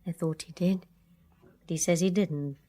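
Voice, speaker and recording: A young Englishwoman in a radio drama who has been hurt and keeps her guard up. Her voice is slightly low. She speaks bitterly and haltingly, as if each admission costs her. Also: steady tone, warm tone. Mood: guarded, confessing. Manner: hesitant, halting confessions. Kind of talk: full-cast radio drama acting.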